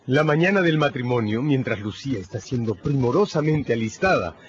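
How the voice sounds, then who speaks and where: A man's voice: mid-range voice, expressive, a little fast. A man narrating a radio drama in a scripted, dramatic storytelling style. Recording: dull lo-fi audio under music.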